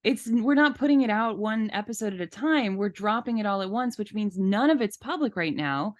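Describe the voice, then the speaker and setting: A woman's voice: medium pitch, animated, a little fast, no room sound, muffled recording. A woman co-hosting a podcast, talking casually in an indignant, emphatic and opinionated way. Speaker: podcast host.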